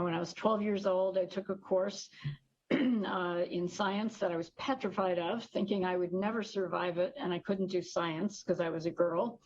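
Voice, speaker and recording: A woman's voice: mid-range voice, muffled recording. A woman microbiologist telling a personal story from her office over a video call, with an easy storytelling manner. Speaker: microbiologist panelist.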